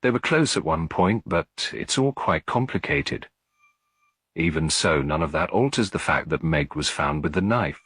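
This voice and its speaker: A man's voice: rumbling low, lively intonation, moderate pace, a bit bright, dry recording, clean studio sound, full character voice. A man voicing a tired, strained middle-aged widow in an audiobook, cool, guarded and composed. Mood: tense, defensive. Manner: clear but weary, composed. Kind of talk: audiobook character dialogue.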